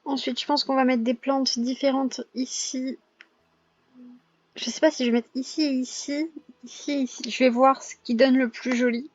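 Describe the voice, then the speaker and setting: A young woman with a cold and a hoarse voice, chatting casually over her gameplay with lots of pauses and self-corrections. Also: high voice, little pitch movement, muffled recording. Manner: hesitant, many filler pauses and self-corrections. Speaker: gaming vlogger.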